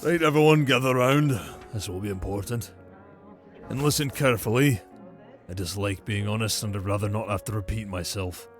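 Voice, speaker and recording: A man as a low-voiced sardonic noble son. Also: soft background music, natural voice. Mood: grave, frank. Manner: dry, measured, sardonic. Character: cynical, disgraced noble son, coolly frank and sardonic. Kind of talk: scripted audio roleplay drama.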